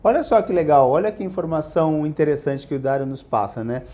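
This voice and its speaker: A man's voice: fairly high pitch, animated, fast talker, mellow. A man hosting an interview podcast, upbeat and speaking directly to his listeners. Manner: upbeat, addresses the audience directly. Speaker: podcast host.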